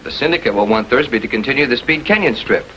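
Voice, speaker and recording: An actor playing a sardonic, smooth-talking man in a 1940s American TV crime drama, with dry, mock-affectionate sarcasm. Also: mid-range voice, animated, quick pace, sharp tone. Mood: smug. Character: sardonic, smooth-talking man, coolly needling his lover. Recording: dull, noisy 1940s film soundtrack.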